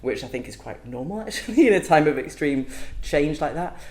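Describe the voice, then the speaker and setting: A woman's voice: low-pitched, lively intonation, a little fast, a little mellow. A woman guest on a podcast, talking openly and thoughtfully in a casual interview, with frequent ums and restarts. She is not from Wales. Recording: echoey room.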